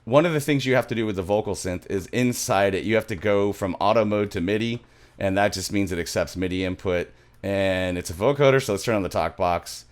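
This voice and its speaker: Low-pitched man thinking aloud in a tutorial. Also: brisk. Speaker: music production tutorial host. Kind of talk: casual screen-recorded software tutorial. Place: small home studio room, indoors.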